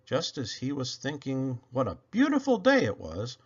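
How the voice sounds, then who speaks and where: A man reading a children's story aloud, narrating expressively with dramatic emphasis and drawn-out words. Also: mid-range voice, huge pitch swings, slightly rough, muffled recording. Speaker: storybook reader.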